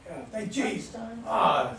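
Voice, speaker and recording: A man's voice: slow pace, fairly bright tone. A man in an audience giving informal critical feedback during a group discussion. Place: room at a live play reading. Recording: very echoey room, far from mic, faint noise.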